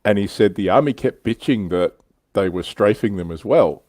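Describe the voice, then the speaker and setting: A man's voice: fairly low pitch, lively intonation, moderate pace, a little mellow, no echo. A man hosting a casual hobby livestream, telling stories in an explanatory way with some swearing. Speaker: livestream host.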